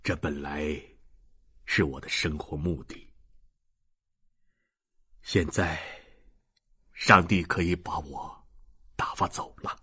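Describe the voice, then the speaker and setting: Audiobook role: humble, broken old father, extremely low and very husky, halting and resigned. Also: pushed and bright, heavily acted. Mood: sorrowful resignation. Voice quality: husky, breathy. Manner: halting, muttering to himself. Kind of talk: audiobook character reading.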